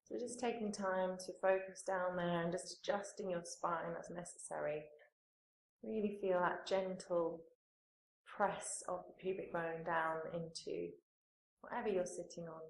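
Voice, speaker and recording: A woman yoga teacher leading a guided meditation in a calm, soothing manner, with pauses between phrases. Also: on the low side, creaky, slight background noise. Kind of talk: guided meditation, gentle spoken instruction. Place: indoor room, live training course class. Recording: roomy echo, live class recording.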